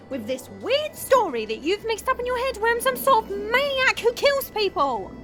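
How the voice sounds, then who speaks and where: A woman's voice: very high voice, fairly bright tone, full character voice. A woman playing a playful, sardonic monster girl in an audio roleplay, drawling and teasing. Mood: sardonic, wry. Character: playful, teasing female monster, powerful and lonely.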